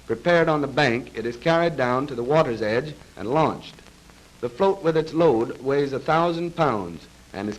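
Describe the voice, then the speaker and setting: A male narrator delivers scripted military instruction in the style of a 1930s training film. His pitch sits in the middle range for a man. He speaks formally and precisely, with a measured delivery, as heard on a vintage film soundtrack. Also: poor audio. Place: voice-over recorded for a film.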